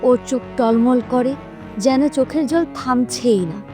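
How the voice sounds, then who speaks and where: A woman's voice: high-pitched, a little slow, mellow, faint music behind. A woman narrating a scripted story for children as a storyteller.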